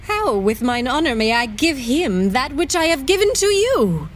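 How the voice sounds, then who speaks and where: A woman's voice: sits high, big pitch swings, normal speed, a little hiss or hum. An actress playing a lovelorn, proud noblewoman, pleading and ardent, in a Shakespearean audio drama. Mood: ardent longing.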